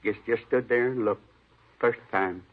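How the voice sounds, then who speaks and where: A man's voice: medium pitch, steady tone, measured pace, close to an everyday voice. An actor playing a shaken, defensive building superintendent in his fifties, speaking plainly and repeating himself in an old radio drama. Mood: shaken, numb. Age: 50s. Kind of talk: 1950s radio drama acting. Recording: tinny band-limited old radio recording.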